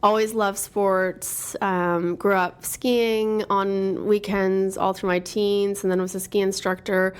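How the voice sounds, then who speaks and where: A middle-aged woman from Toronto, talking as an interview guest in an upbeat, relaxed way with many ums. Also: medium pitch, takes it slowly, few pauses, clean tone. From Toronto, Canada. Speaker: interview guest, marketing executive. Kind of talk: casual conversation.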